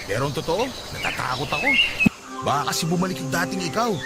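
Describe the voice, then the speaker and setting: A man plays a cocky but devoted young Filipino husband in a radio love drama. His voice is notably high and lively, full of joking and boasting, over loud background music. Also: fast talker, natural voice. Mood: playful.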